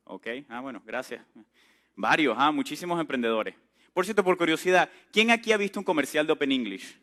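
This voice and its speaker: A man. His voice sits somewhat high, with a bright, forceful edge. He tells his story in a relaxed, chatty way and throws questions out to the room. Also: brisk. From Venezuela. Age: 30s. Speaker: entrepreneur speaker. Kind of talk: conference talk to a live audience.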